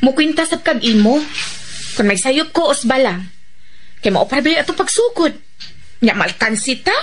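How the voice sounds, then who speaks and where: A woman's voice: high-pitched, expressive, moderate pace, slight background noise. A woman with a Cebuano Filipino accent playing a feisty, chatty, teasing woman in a radio drama, full of familiar exclamations. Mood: businesslike.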